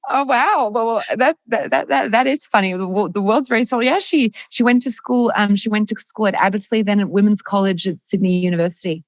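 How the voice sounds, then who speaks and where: A woman's voice: medium pitch, fairly quick, hardly any pauses, no echo, lo-fi sound. A woman from France, a company chief executive, answering interview questions with many ums and restarts.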